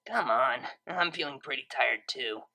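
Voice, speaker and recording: Woman voicing a brash, creaky-voiced young unicorn DJ. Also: a bass voice, animated, measured pace, pushed and bright, pitched below the performer's own voice, heavily acted, poor audio. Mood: tender, easygoing. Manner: casual, slangy, teasing.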